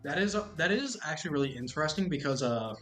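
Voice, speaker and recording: A man's voice: on the high side, lively intonation, fast talker, fairly bright tone, soft background music. A young man co-hosting a podcast, chatty and building on others' points. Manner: agreeable, picking up others' points. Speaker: podcast co-host. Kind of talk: casual chat.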